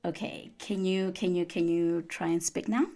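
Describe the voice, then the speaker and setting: A woman hosting a casual live radio show, excitable and chatty, repeating herself with plenty of fillers. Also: on the low side, moderate pace, faint music behind. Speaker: radio host. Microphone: live stream studio mic.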